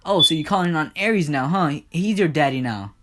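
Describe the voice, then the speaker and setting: A man's voice: fairly high pitch, expressive, moderate pace, bright, forceful tone, voice pushed up. A man voicing an enraged, menacing god of the underworld who threatens in repetitive, profane bursts. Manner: threatening, swearing, repeating himself.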